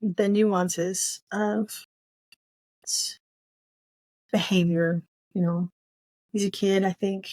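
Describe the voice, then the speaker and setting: A woman co-hosting a casual book chat, with a mid-range voice and a very dry, close sound. She talks in a loose, rambling way, thinking out loud and trailing off. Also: mid-range voice, steady tone, drawn-out words, long pauses, no echo. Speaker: book podcast co-host.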